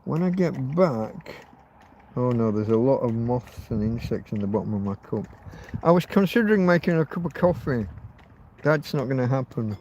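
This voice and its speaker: A man's voice: medium pitch, lively intonation, normal speed, darkish tone, slight background noise. A man in his fifties hosting a rambling, casual livestream outdoors, talking bluntly and swearing freely. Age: 50s. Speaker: livestream host. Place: outdoors in a field.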